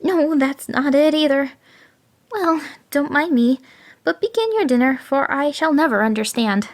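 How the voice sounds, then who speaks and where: Woman doing a high flustered scheming jackal. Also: expressive. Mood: feigned confusion. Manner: fluttery, flustered, drawn-out pleading. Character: cunning male jackal feigning dim-witted confusion.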